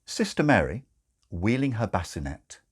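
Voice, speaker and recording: A man reading a comic story as narrator, in a measured, dramatic and slightly arch way. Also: lowish voice, sing-song, slightly rough. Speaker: narrator, audiobook reader.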